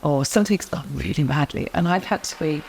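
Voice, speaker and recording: A middle-aged woman, a guest in an interview, speaking in a quiet, measured way as she gives advice. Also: low voice, slight background noise. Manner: soft-spoken, measured, advice-giving. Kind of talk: interview conversation.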